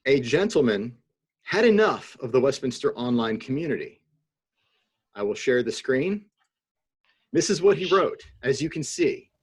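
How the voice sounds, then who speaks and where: A man's voice: medium pitch, sing-song, measured pace, pauses often, slightly rough. A man co-hosting a casual chat over a video call, joking and playful. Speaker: co-host.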